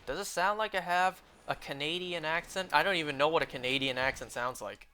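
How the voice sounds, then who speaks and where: A man's voice: a little high, brisk, bright, forceful tone, slight background noise. A young man with a North American accent chatting casually and in a rambling way while streaming a video game, speaking into a close mic.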